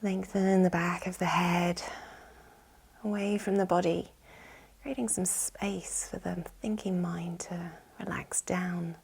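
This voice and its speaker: A woman with a soft, breathy, whispery voice, soothingly guiding a yoga nidra relaxation with gentle instructions. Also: fairly low pitch, flat delivery, slow. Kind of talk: guided relaxation meditation.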